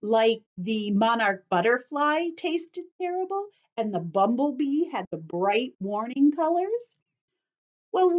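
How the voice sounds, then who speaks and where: A woman teacher reading aloud to young children, explaining things simply and putting questions to them as she goes, in slightly dull lo-fi sound. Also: a little high. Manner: instructive, addresses children with questions and simple repetition.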